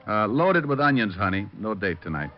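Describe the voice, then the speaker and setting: Wry private eye, low lively voice, hard-boiled radio drama, muffled old recording. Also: male voice, moderate pace. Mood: wisecracking. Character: wisecracking, flirtatious, dry male private detective.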